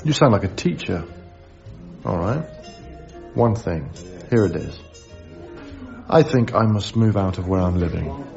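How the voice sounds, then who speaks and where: Low, gravelly, heartbroken young Englishman. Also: animated, unhurried, long pauses, darkish tone, muffled recording, soft background music, exaggerated voice. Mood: wry, guarded. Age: young adult. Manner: wry, reflective, reticent. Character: heartbroken, guarded young man, a wry lodger. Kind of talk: radio drama acting with intimate first-person narration.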